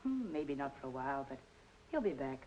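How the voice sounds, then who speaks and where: Wry, quick, swooping noir dame. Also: female voice, very deep voice, very animated, warm tone, dull audio, a little hiss or hum. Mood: knowing, resigned. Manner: teasing, knowing, sardonic. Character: wry, worldly, good-natured woman in a noir drama. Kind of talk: 1940s-50s film noir radio drama acting.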